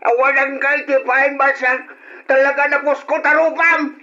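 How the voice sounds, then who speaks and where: Scolding old man, extremely high pitch, piercingly sharp, indignant, old radio drama. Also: little pitch movement, normal speed, heavily acted. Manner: indignant, reproachful. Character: scolding elderly father-in-law, indignant and meddling. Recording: dull lo-fi radio drama recording.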